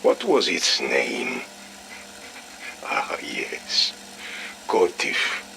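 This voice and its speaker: An actor playing a stern, menacing military colonel, clipped and commanding, in a video game audio log. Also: male voice, a bass voice, wildly swooping pitch, drawn-out words, creaky, sharp tone, a little hiss or hum, exaggerated voice. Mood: insinuating.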